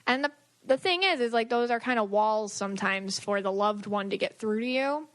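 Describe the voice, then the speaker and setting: A woman's voice: mid-range voice, normal speed. A woman hosting a casual podcast as a spiritual medium, telling stories in a chatty, informal way.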